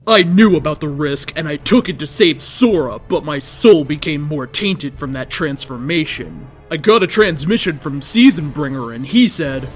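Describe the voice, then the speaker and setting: A man's voice: on the high side, lively intonation, moderate pace, hardly any pauses, soft background music. A man reading amateur fan fiction aloud in the first person, with a dramatic, declamatory delivery. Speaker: story reader.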